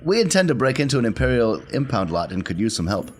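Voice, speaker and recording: A man's voice: medium pitch, very animated, fast talker. A man chatting casually as a player in a tabletop role-playing game.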